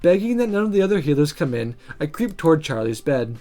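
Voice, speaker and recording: A woman hosting a gaming video, reading a visual novel aloud with expressive narration and casual commentary. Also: deep voice, fast. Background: faint music.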